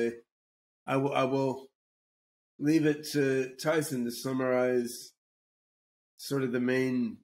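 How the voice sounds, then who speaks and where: Podcast host guy, middle pitch, stammers and repeats words a lot, casual chat. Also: a little mellow. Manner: halting, many repeated words and restarts.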